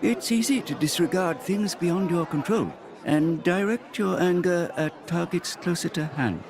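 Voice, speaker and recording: Calm, high-voiced thoughtful companion. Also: male voice, a little slow. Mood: calm, grave. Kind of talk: video game voice acting. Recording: game music and crowd behind.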